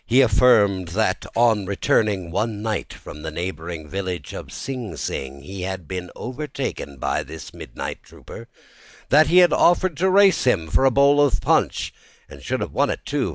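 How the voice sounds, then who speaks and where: A man narrating a classic ghost story as a scripted reading, in a measured storytelling manner. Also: low-pitched, sing-song, no room sound. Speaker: audiobook narrator.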